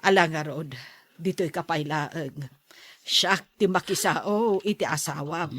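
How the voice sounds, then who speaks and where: A woman playing an older Ilocano mother in a radio drama, calm and doubting. She speaks slowly in a lowish, husky voice with an Ilocano accent. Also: no room sound. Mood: doubting, soothing.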